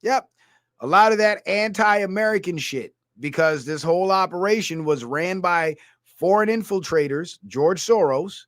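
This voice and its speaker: A man's voice: fairly high pitch, a bit bright, no room sound, clean studio sound. A man from Guyana ranting through a political commentary livestream, heated and blunt, reading viewer comments aloud. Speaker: streamer.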